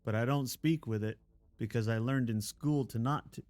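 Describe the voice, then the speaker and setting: A man's voice: medium pitch, moderate pace, a little mellow, dry recording. A man from Texas chatting casually on a live game stream, rambling and self-deprecating with frequent chuckles. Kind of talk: casual chat while gaming.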